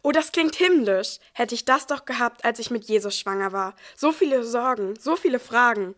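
A woman playing a gentle young new mother in a nativity audio play. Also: on the high side, lively intonation, moderate pace. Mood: delighted.

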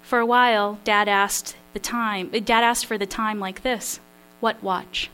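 A woman reads from her own memoir at a literary event, her voice at a middle pitch. She is slightly nervous and self-deprecating, pausing with small fillers between passages. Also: steady tone, sharp tone, clear, resonant tone. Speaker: memoir writer reading her work.

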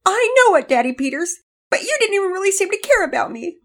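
A young girl from a storybook, acted out by a woman, pitched far up and full of hurt. She wails and whines in indignant protest, her voice swinging widely. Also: sing-song, quick pace, heavily acted. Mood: tearful, hurt. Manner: wailing, whiny protest. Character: upset young girl, tearful, indignant, sulking.

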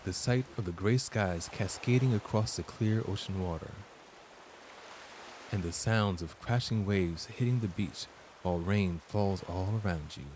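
A man narrating a scripted guided meditation as its host, deliberate and soothing, leaving long pauses between short phrases. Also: sits low, darkish tone, a little hiss or hum.

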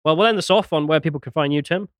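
A man's voice: fairly high pitch, rapid-fire, no echo, clean studio sound. A man hosting a casual panel chat and reading out viewer messages.

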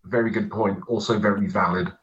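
A man's voice: on the low side, fairly even intonation, a little fast. A British man in his late forties chatting casually as a podcast co-host, on a dull mic. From United Kingdom. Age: late 40s. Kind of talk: casual chat.

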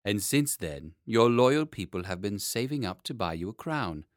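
A man voicing a grave, formal, courtly gentleman statesman with a solemn, stately manner in a storybook. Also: on the low side, expressive, normal speed, no echo, studio quality, close to an everyday voice. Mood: solemn, explaining.

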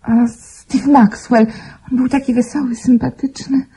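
A woman's voice: sits high, level tone, measured pace, warm tone, breathy edge, heavily acted. An actress playing a tender, bewildered, trusting young woman in a radio drama. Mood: faint, bewildered. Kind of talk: Polish radio drama acting.

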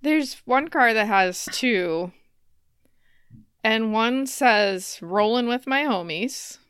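A woman's voice: on the high side, lively intonation, slow pace, fairly bright tone, clear, resonant tone, no echo, clean studio sound. A woman in her thirties chatting casually and telling stories with wry humor as a podcast co-host. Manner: wry, laughs often.

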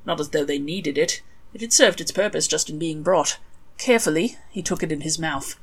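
A woman reads fiction aloud as a storyteller, her voice sitting a little on the low side. Her delivery is expressive and wry, clearly scripted narration. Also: fairly quick. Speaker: author reading own fan fiction.

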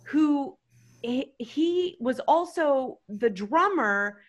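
A woman's voice: high-pitched, very slow, dull audio. A woman telling a comedic story to a podcast panel, rambling and emphatic. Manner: animated, rambling, emphatic with "freaking". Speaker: guest storyteller.

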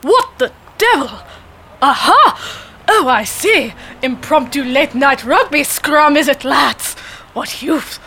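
A woman playing a pompous, jolly, oblivious older British gentleman, a former sportsmaster, with theatrical old-fashioned bluster in a comedy sketch. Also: lively intonation, takes it slowly, a little hiss or hum, exaggerated voice. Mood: jovial bluster. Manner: booming bluster, old-fashioned posh exclamations.

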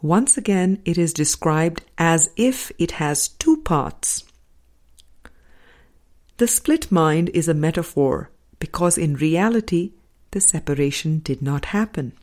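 Female audiobook reader for spiritual text: lowish, soft-toned, measured delivery with deliberate pauses. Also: expressive. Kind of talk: measured reading of a spiritual book.

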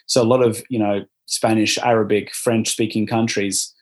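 Chatty Australian man, lowish voice. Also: level tone, moderate pace. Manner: rambling, upbeat, many fillers and restarts. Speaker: interviewee, former volunteer. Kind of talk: casual conversational interview.

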